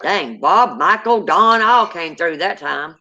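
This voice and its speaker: A woman's voice: lowish voice, expressive, slow pace, pushed and bright. Southern woman hosting a spirit box stream. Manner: chatty and affectionate, calls guests honey and girlfriend. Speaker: host of a paranormal livestream. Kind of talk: casual chat, calling out to spirits. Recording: dull lo-fi stream audio.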